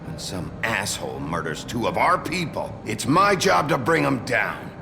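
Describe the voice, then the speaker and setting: An actor playing a gruff, hard-bitten sheriff in a video game, blunt, terse and short-tempered. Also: male voice, lowish voice, big pitch swings, normal speed, noisy background. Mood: stern.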